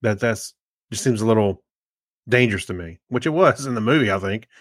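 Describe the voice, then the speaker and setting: A man's voice: on the low side, lively intonation, fairly quick, dry recording, studio quality. A middle-aged man co-hosting a podcast, chatting casually in a hesitant, rambling way. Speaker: podcast co-host. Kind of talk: casual chat about movies.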